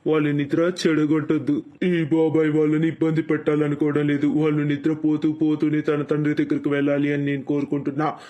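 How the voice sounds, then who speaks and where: Man acting a mellow, gloating villain brother-in-law. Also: fairly high pitch, monotone, muffled recording, natural voice. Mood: gloating menace. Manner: mocking, slow drawn-out menace. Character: cruel greedy brother-in-law, gloating smooth-talking villain. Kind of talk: animated moral story acting.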